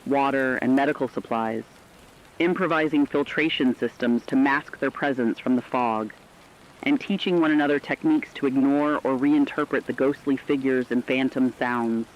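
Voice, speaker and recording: A man playing a thoughtful, earnest emergency radio correspondent in an apocalypse drama, with formal, measured delivery. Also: mid-range voice, muffled recording, close to an everyday voice. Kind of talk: scripted dramatic broadcast narration.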